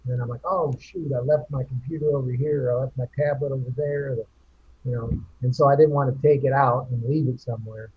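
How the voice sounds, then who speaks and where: A man's voice: mid-range voice, steady tone, brisk, breathy edge, lo-fi sound. A man hosting a casual group chat show, rambling with many restarts. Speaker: podcast host.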